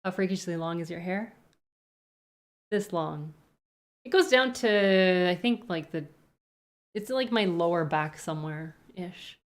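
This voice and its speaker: A young woman with a Canadian accent and a slightly low voice chats loosely with her viewers. She rambles, thinks aloud and hedges often as she answers questions. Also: a little slow, pauses often. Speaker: streamer. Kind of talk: casual live-stream chat answering viewer questions.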